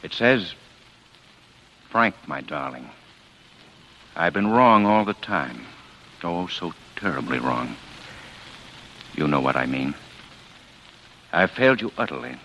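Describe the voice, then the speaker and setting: An actor playing a cold husband feigning grief, with deliberate, halting 1940s radio drama delivery. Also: male voice, extremely low pitch, big pitch swings, sharp tone, exaggerated voice. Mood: solemn, heavy. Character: cold, menacing husband feigning grief over his wife. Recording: noisy old radio recording.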